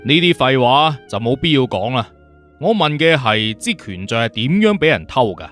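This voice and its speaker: 一個男人用粵語有聲小說嘅演法，扮演一個自信、直率、咄咄追問又冇耐性嘅刑偵顧問。. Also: male voice, mid-range voice, sing-song, normal speed, fairly bright tone, faint music behind. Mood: blunt, demanding. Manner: assertive, questioning, direct. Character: self-assured, blunt detective consultant, probing and impatient. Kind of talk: Cantonese audiobook character acting.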